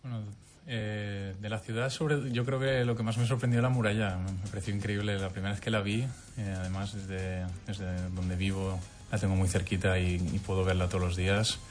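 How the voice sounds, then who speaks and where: A young man with a Spanish accent speaks as a basketball player at a press conference. His voice is low with little pitch movement. Music plays behind his unscripted answers. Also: level tone, moderate pace. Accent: Spanish, from Spain. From Valencia, Spain. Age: young adult.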